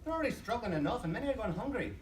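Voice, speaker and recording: Performer as polite male do-gooder, fast, eager, animated, slightly hesitant; echoey stage recording. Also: mid-range voice, slight background noise, close to an everyday voice. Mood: indignant pleading. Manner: hesitant starts, eager, pleading. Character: polite, earnest, nervous male charity collector. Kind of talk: live audio drama acting. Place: convention live show stage. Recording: echoey live stage, distant mic.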